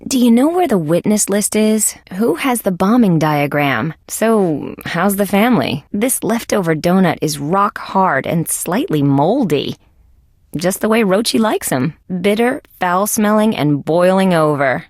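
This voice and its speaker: A woman's voice: medium pitch, lively intonation, a little slow, a little mellow, no echo. A woman voicing a wry, sardonic female insect police detective in a video game, with dry, sarcastic wisecracking delivery. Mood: businesslike. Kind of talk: scripted adventure game voice acting.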